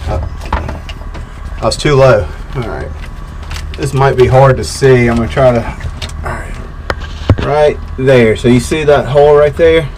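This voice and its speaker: A man's voice: mid-range voice, measured pace, long pauses, a little hiss or hum, rough recording. A man in his thirties casually walking through a boat wiring job step by step, with plenty of fillers, filmed on a close action camera outdoors. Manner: relaxed, explaining step by step with fillers. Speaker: boat DIY vlogger. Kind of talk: casual how-to walkthrough.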